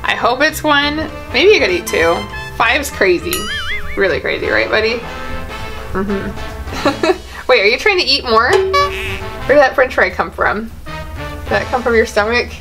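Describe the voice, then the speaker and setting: Female, thirties, kids' channel host; very lively higher voice, playful mock disbelief, clear music underneath. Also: measured pace, pauses often. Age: 30s. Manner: animated, exclaiming, mock-disbelieving. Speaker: kids' channel host acting as a doctor in a skit. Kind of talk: playful improvised skit chat with a child. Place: small indoor room.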